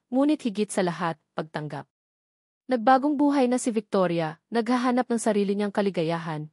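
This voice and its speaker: A woman's voice: medium pitch, darkish tone, no room sound. Filipino woman narrating sentimentally, dry close sound. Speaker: story narrator. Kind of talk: scripted narration of a sentimental love story.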